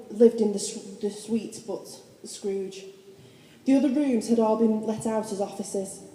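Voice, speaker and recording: A woman reads storybook narration for a radio drama. Her tone is very dark and soft-edged, pitched a little high. The recording carries the long reverb of a hall.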